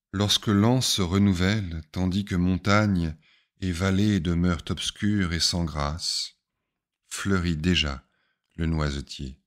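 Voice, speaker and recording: A man reading spiritual poetry aloud in a solemn, measured recitation, phrase by phrase with deliberate pauses. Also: low voice. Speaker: reader of spiritual poems.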